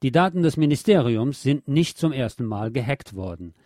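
A man's voice: medium pitch, animated, normal speed, darkish tone, no room sound, clean studio sound. A man presenting scripted local news on the radio, reading as a narrator of reports.

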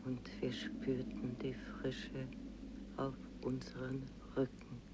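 An actress playing a solemn, dreamy old village woman in a poetic radio drama, creaky and drifting towards song. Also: a bass voice, monotone, slow pace, noisy background. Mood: hushed wonder. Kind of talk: extremely slow poetic radio drama recitation, partly sung. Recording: dull recording, loud plucked-string music.

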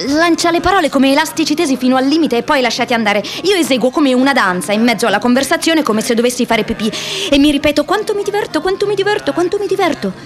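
An actress playing a restless, wry young American woman activist in an expressive, introspective radio drama monologue. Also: sits high, fast talker, hardly any pauses, soft background music. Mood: nervous, forced cheer. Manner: expressive, introspective, shifts between playful and wistful.

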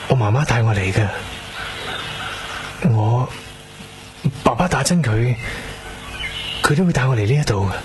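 An actor with a Hong Kong accent playing a quiet, brooding young trainee doctor in a radio drama. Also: male voice, mid-range voice, animated, measured pace, pauses often, close to an everyday voice. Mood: brooding, wistful. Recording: clearly noisy ambient background.

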